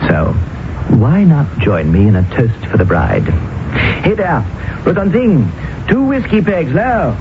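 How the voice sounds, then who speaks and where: Lovestruck young doctor, animated old-radio delivery. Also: male voice, a little high, measured pace, noisy background. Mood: ardent, impatient. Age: young adult. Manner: earnest, defiant, declamatory. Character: lovestruck, stubborn young British doctor in colonial India. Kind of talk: 1980 radio drama acting. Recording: muffled, narrow-band old radio broadcast.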